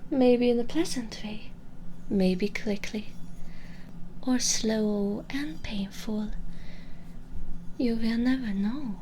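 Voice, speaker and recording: A cruel, vengeful female vampire, played by a woman in an intimate audio roleplay. She speaks slowly in a breathy half-whisper, menacing and full of mockery, straight at the listener. The close binaural recording has faint ambient noise behind the voice. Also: fairly high pitch, mellow, poor audio. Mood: menacing taunts. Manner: slow, menacing, mocking, addressing the listener directly. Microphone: close binaural microphone.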